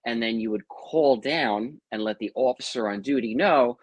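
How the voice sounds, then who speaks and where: A man with a New York accent tells his story as a guest, chatty and full of asides. His voice sits at an ordinary middle pitch. The recording is dry, with almost no room sound. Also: moderate pace, husky, no room sound. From Long Island, New York. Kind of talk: casual interview storytelling.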